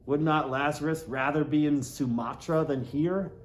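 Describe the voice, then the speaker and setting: A man reading a classic novel aloud in a deliberate, dramatic way, lingering on words. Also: on the high side, fairly even intonation. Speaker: audiobook reader. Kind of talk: literary narration, theatrical reading of a classic novel.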